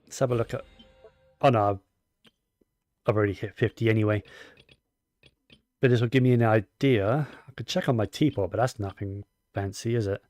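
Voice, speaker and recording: A man's voice: on the low side, brisk, pauses often, a little mellow, no echo, soft background music. Man giving rambling, opinionated game commentary. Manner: opinionated, rambling, talks to himself. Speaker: gaming streamer. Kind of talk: casual gameplay commentary.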